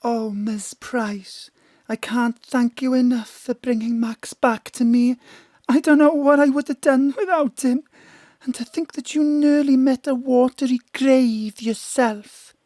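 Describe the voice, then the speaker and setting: A woman's voice: high voice, fairly even intonation, a little slow, warm tone, dry recording. An actress playing a genteel, polite and gushing well-off lady in an audio drama. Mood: grateful, wistful. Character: genteel, grateful well-off lady client, cat lover.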